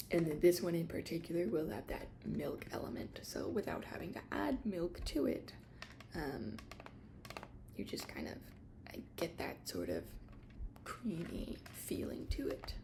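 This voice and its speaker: A woman doing a soft-spoken ASMR shop roleplay, explaining products in a casual, hesitant, unscripted way. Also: fairly low pitch, gravelly. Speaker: ASMR creator playing a tea shop attendant.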